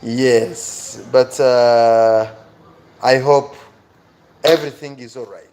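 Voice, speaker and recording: A man's voice: mid-range voice, fairly even intonation, slow, a little mellow, a little hiss or hum. A man with an African accent giving a motivational live lesson to viewers as their teacher, earnest and emphatic, repeating key phrases.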